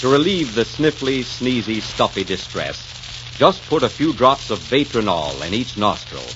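A man's voice: mid-range voice, big pitch swings, moderate pace. A man announcing in polished, persuasive 1940s radio style, reading a scripted commercial with emphatic phrasing. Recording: noisy, muffled 1940s radio recording.

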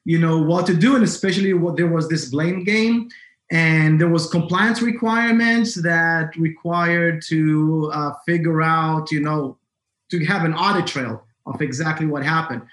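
Higher-voiced Israeli man in an echoey room. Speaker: guest, cybersecurity entrepreneur. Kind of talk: conversational interview talk. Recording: roomy, echoing sound.

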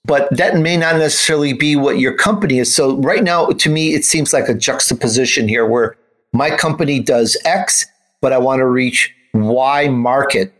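An American man hosts an interview show. His voice sits at an average pitch for a man. He talks in a conversational way, as an interviewer speaking with a guest. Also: normal speed, a bit bright. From United States, grew up in Chicago.

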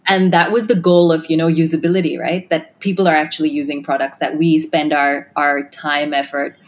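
A woman's voice: low voice, level tone, a little fast. A woman who grew up in Kenya and Australia, founder of a tech design firm, answering interview questions thoughtfully with many fillers and restarts.

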